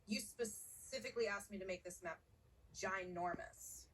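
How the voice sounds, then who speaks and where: A woman's voice: mid-range voice, moderate pace, slightly rough, recorded from a distance, close to an everyday voice. A woman playing a dry, self-deprecating young fashion insider in a video game cutscene, speaking matter-of-factly.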